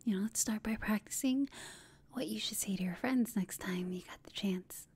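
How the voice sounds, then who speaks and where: A woman playing a flirtatious, smug female villain who taunts an enemy in a breathy whispered ASMR roleplay. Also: medium pitch, a little mellow. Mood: flirtatious teasing. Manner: slow, teasing, smug.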